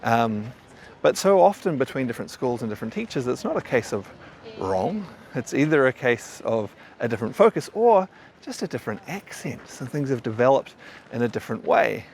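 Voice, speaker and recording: A man's voice: mid-range voice, sing-song, moderate pace, a little mellow, a little hiss or hum. A man with a mild New Zealand accent, a qigong teacher talking casually and thoughtfully to camera, often stammering over restarts. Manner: thoughtful, rambling, frequent stammered restarts and fillers.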